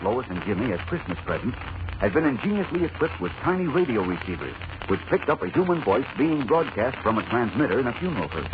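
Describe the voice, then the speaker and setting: Dramatic male radio narrator, muffled noisy recording. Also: mid-range voice. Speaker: radio serial announcer and narrator. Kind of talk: 1940s radio scripted narration.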